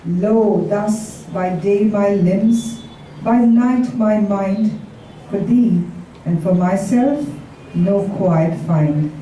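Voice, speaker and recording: A woman reciting Shakespearean sonnets as a poetry reader, in a measured, deliberate delivery. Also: medium pitch, dark tone. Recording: very echoey, distant, faintly noisy live recording.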